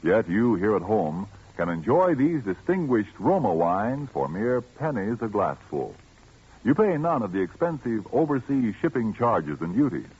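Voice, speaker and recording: A man reading a 1940s radio wine commercial in a smooth, persuasive manner. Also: lowish voice, very animated, measured pace, a little mellow. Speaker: commercial announcer. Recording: dull old radio broadcast with faint music.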